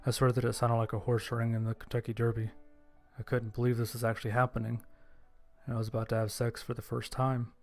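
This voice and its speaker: A man narrates a scripted first-person story. His voice is medium in pitch with little melody. The delivery is measured and understated, over faint background music. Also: completely flat delivery. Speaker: narrator and voice actor.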